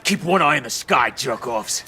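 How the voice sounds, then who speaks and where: A man's voice: medium pitch, animated, normal speed, background noise, close to an everyday voice. An actor playing a snarling criminal henchman, taunting and menacing, in a video game. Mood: threatening. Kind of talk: dramatic video game acting.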